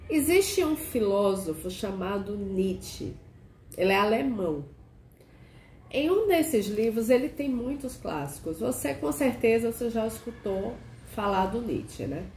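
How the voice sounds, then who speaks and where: A woman hosting a casual video chat. She sounds nasal and hoarse from a cold and keeps asking the viewer questions. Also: a little high, sing-song, unhurried, a little mellow. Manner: chatty, asks the viewer many questions. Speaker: archetype consultant and channel host. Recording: echoey room with faint noise.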